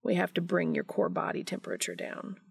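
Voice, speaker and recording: A woman's voice: fairly low pitch, fairly quick, creaky, husky, no room sound, studio quality, exaggerated voice. A woman voicing an earnest, concerned, awkward man in an audiobook. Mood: awkward, hesitant. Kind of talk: audiobook character dialogue.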